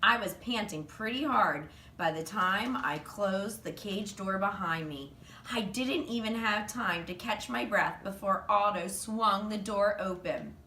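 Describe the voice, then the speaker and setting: A woman reading a children's story aloud in an animated, expressive storytelling manner. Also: medium pitch, measured pace. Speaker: reader, teacher. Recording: roomy, distant mic, faint noise.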